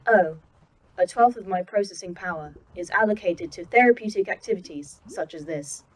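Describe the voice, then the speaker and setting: A woman voicing a calm female AI that runs a secret facility, speaking in a precise, dry manner in an audio drama. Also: on the low side, moderate pace, husky, poor audio. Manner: precise, dry, oddly proud of hobbies.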